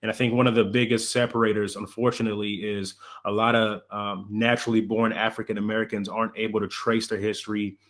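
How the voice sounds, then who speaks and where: Brief: Congo-born man, podcast host, casual and affirming, somewhat low voice. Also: flat delivery, quick pace, few pauses, a bit bright. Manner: casual and affirming, repeats words when starting. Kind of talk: casual conversational interview.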